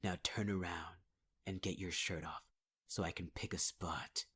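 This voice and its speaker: A man's voice: low-pitched, steady tone, a little slow, fairly bright tone. A man performing a menacing, taunting villain in an ASMR audio roleplay, often half-whispering. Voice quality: husky, half-whispered delivery.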